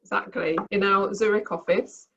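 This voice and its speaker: A woman's voice: mid-range voice, fairly even intonation, moderate pace, highs cut off. A woman interviewing in a casual, conversational style.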